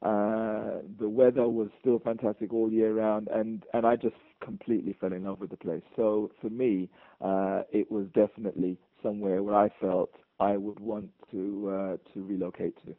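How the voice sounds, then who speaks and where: A man with a London accent, talking as an interview guest in a fairly low voice. He halts and restarts often, repeating words as he goes. The sound is dry and thin, like a phone line. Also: level tone, dry recording. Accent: London English. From England. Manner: halting, many repeated words and restarts, chuckling. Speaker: guest, bar owner. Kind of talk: casual interview.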